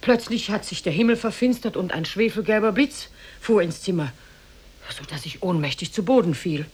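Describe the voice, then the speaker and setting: A woman's voice: mid-range voice, animated, moderate pace, darkish tone, slightly rough. An actress in a 1950s radio play playing an anxious mother of a grown son, grave and resigned. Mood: grave, troubled.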